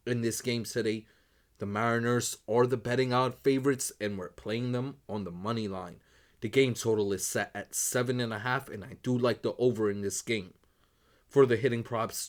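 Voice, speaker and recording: A man who is losing his voice, running quickly through his sports betting picks as host, in a brisk set routine. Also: mid-range voice. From Northeast United States. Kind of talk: rapid betting picks rundown.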